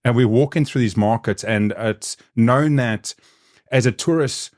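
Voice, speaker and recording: A man from South Africa with a softened South African accent, hosting a podcast and telling a story in a frank, rambling, profane way. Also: medium pitch, measured pace, dry recording, clean studio sound. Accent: South African, softened by living in New Zealand. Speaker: podcast host. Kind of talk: casual conversational storytelling.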